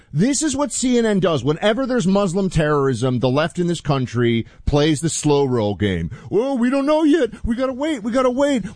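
Male talk radio host, dry studio sound, gets heated and indignant fast. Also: darkish tone, no echo. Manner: heated, indignant, voice climbing high when outraged.

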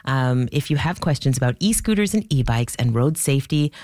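A Canadian woman hosting a live radio phone-in, talking conversationally to listeners, her voice lowered by a cold. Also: expressive, a little fast, a little mellow, no room sound, studio quality. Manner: conversational, addresses listeners directly. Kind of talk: hosting a live call-in discussion.

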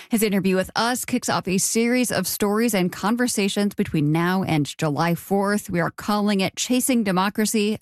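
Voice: medium pitch, dry recording, studio quality. A radio host interviewing a guest and presenting the program in a conversational manner. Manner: curious, conversational questioning.

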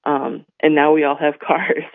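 Slightly hoarse man telling stories over a phone line. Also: a little high, little pitch movement, measured pace, no echo. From North Dakota. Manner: warm storytelling with many fillers and "right?". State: voice a little hoarse. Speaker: guest. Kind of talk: radio interview, casual talk.

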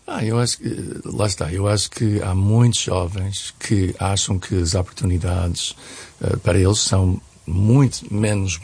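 Gravelly, low-voiced man. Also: fairly even intonation, measured pace, darkish tone. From United States. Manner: hesitant, searching for words. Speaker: guest commentator on a radio programme. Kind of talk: conversational analysis in a radio discussion.

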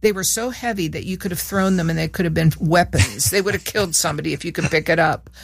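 A woman's voice: on the low side, quick pace, creaky, husky, no room sound. Older woman from Peru, guest on a radio talk show. Chatty, laughs easily, restarts sentences. Close, dry studio mic. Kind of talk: casual reminiscing chat.

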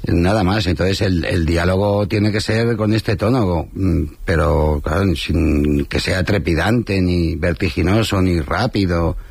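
Husky, low-voiced man chatting. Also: unhurried, hardly any pauses, darkish tone, no echo. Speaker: comedy show host being interviewed. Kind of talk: casual interview chat.

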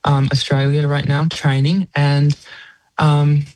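Young New Zealand athlete, fairly even-toned. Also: medium pitch, slow, no room sound, rough recording. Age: late teens, young adult. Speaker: competitive swimmer being interviewed. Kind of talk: interview answers, casual conversational.

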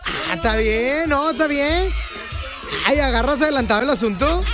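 A man's voice: very high-pitched, moderate pace, over music. A man hosting a comic radio show, teasing callers and laughing often. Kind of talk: comic morning radio banter.

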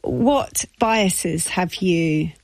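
A woman's voice: on the low side, drawn-out words, darkish tone, dry recording. A woman, a radio interviewer putting probing, pointed questions to a guest.

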